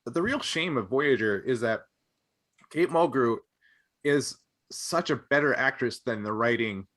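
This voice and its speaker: A man's voice: medium pitch, measured pace, fairly bright tone. A man co-hosting a podcast, chatting casually and giving opinionated, nerdy takes with hesitant, wandering phrasing. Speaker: podcast co-host. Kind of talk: casual panel chat about a sci-fi show.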